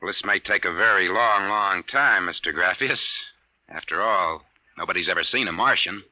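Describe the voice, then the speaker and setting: An actor playing a sardonic, hard-boiled private detective boss, wry and gruff, in a 1950s radio drama. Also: male voice, sits low, moderate pace, pushed and bright, no room sound. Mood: wry, businesslike. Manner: wry, gruff, clipped slangy talk. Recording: dull, dry vintage radio recording.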